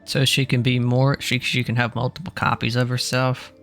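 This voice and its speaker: A man's voice: medium pitch, little pitch movement, normal speed, a bit bright. A man giving casual gameplay commentary as he plays. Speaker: gamer. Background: game music.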